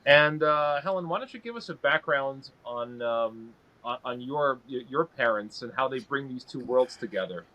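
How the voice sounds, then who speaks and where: A man hosting a podcast introduces the show and his guest, pausing often with fillers. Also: mid-range voice, animated, sharp tone, poor audio. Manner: halting, with many fillers. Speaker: host. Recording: outdoor street noise, traffic.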